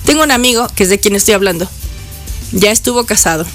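A woman's voice: medium pitch, expressive, fast, a bit bright, faint music behind. A woman who hosts a radio show and reads the news casually, with playful teasing asides. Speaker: radio host. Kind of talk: chatty news commentary.

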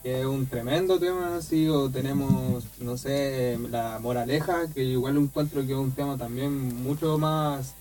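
A man's voice: mid-range voice, fairly even intonation, measured pace, hardly any pauses, darkish tone. A man, a band member explaining songs in easygoing casual talk. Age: 20s. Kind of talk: casual interview chat.

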